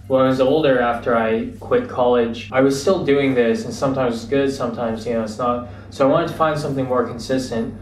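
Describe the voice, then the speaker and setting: A young American man telling his story as an interview guest, relaxed and reflective, with frequent fillers. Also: mid-range voice. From United States. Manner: relaxed, reflective, many fillers like "you know". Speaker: guest, entrepreneur. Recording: roomy, distant mic, faint ambience.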